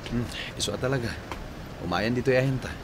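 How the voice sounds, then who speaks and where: A man playing an easygoing husband and protective older brother in a radio drama, casual and unhurried, with an Ilocano accent. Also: mid-range voice, a little hiss or hum, close to an everyday voice. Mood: welcoming.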